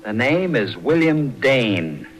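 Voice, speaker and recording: An actor playing a cold, gloating avenger in a 1940s radio drama, taunting his victim with deliberate contempt on an old recording. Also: mid-range voice, sing-song, a little hiss or hum. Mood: gloating, contemptuous. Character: cold, gloating avenger, brother of a murdered man.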